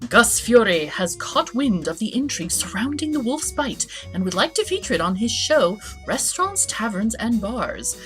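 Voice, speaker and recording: A woman's voice: on the high side, moderate pace, hardly any pauses. Playful lady narrating a video game, voice goes up and down a lot, music under it. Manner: playful, giggly, expressive. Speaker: host of a gaming channel. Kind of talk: casual let's play commentary, reading game text aloud. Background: background game music.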